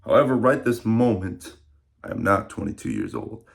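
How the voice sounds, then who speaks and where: A man's voice: on the low side, wildly swooping pitch, normal speed, vocal fry. A man in his early twenties talking casually to camera in a rambling, reflective vlog with many fillers. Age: 20s. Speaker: fitness vlogger.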